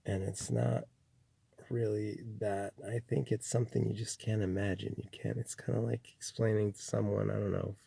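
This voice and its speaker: A man from Chile speaks in a low, deeply gravelly and husky voice, his tone dark and mellow. He muses slowly on spiritual matters, circling back over his words with pauses and restarts. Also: flat delivery, dry recording. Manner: slow, rambling, with many restarts and fillers. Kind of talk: reflective spiritual monologue.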